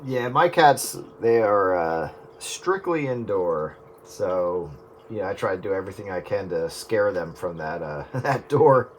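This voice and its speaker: A man's voice: sits low, expressive, measured pace. A man chatting casually and jokingly over two-way radio, rambling along as a hobby operator. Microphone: radio transceiver microphone. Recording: very noisy two-way radio transmission.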